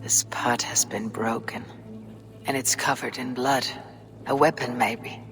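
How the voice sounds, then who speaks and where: An actor voicing a calm, thoughtful Viking warrior who murmurs clues to himself in a video game. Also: male voice, medium pitch, close to an everyday voice. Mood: musing. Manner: measured, muttering thoughts half-whispered. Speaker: game protagonist. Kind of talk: video game cutscene acting. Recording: game audio with music.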